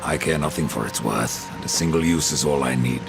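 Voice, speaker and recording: Very deep, gravelly, sardonic grim horseman. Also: fairly quick. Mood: determined. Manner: terse, dry, deadpan. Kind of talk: video game cutscene acting. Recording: music behind the voice.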